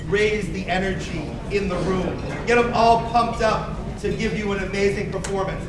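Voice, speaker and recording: Male event host, high register, informal and encouraging, picked up at a distance in an echoey hall. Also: steady tone, moderate pace, crowd noise. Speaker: host introducing a school radio play. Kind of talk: informal welcome speech to an audience. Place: large indoor dining hall with audience. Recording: echoey room, distant mic, loud noise.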